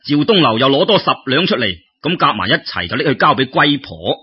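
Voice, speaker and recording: A man telling folk tales as an expressive storyteller, in a conversational way that addresses listeners directly. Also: mid-range voice, fairly quick, husky, muffled recording.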